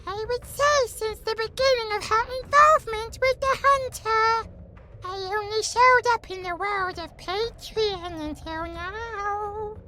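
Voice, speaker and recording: A woman's voice: very high voice, unhurried, bright, forceful tone, a little hiss or hum, exaggerated voice. A woman playing a tiny, cheeky, boastful pixie lord in a fantasy audio drama, with haughty theatrical delivery. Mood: gleeful. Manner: haughty, theatrical, speaks of self grandly.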